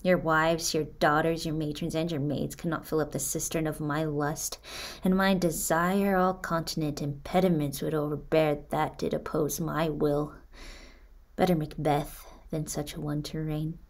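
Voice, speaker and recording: An actress playing a guarded young exiled prince in a Shakespearean radio drama, with measured, declamatory verse. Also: female voice, low voice, flat delivery. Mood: grave, self-accusing.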